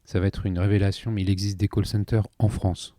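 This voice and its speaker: A man's voice: lowish voice, level tone, brisk, heavy background noise. A man hosting a business podcast, asking questions and stumbling over repeated words as he works through his points. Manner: hesitant, repeats words, probing. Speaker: podcast host, interviewer. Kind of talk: interview questions.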